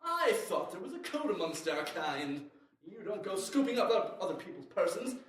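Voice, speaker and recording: A man's voice: high voice, big pitch swings, moderate pace, fairly bright tone, recorded from a distance. A man playing an awkward, petty ex-boyfriend in a comedy sketch. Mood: jealous, indignant. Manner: hesitant small talk turning petty and indignant. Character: jealous, awkward, slighted ex-boyfriend, a personified coupon deal service. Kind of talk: comedy sketch in old-time radio variety show. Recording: very echoey room, off mic.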